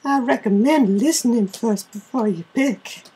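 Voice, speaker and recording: A man's voice: very high-pitched, a little slow, dark tone, husky, pitched above the performer's own voice, full character voice. A man voicing a friendly, helpful safari ranger in a children's storybook, genial and welcoming.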